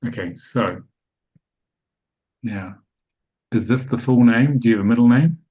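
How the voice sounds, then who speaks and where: Low husky guy leading a spiritual webinar over a muffled lo-fi call. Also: unhurried, dark tone. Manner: explanatory, asks the audience checking questions. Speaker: webinar presenter. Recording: dull lo-fi online call audio.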